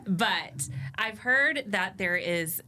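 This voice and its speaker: A woman's voice: mid-range voice, lively intonation, extremely slow, pushed and bright, a little hiss or hum. A woman hosting a casual health chat, asking friendly, short questions. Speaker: host.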